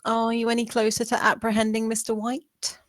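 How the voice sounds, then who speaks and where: A woman's voice: fairly high pitch, monotone, brisk, dry recording, studio quality, natural voice. A woman playing a scornful, commanding district attorney in a screenplay table read, speaking with cutting sarcasm and authority. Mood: scornful, controlled anger.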